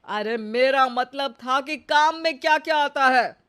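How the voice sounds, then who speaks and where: A woman's voice: high voice, a little slow, a bit bright. A woman voicing an exasperated office boss in a comic cartoon story, formal and trying to stay polite while getting flustered. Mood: exasperated, pleading.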